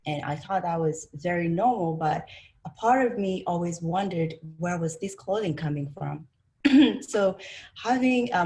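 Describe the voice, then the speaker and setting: A woman from Rwanda giving an academic presentation over a video call, speaking in a measured way with frequent pauses and fillers. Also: fairly low pitch, darkish tone. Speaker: presenter, design instructor.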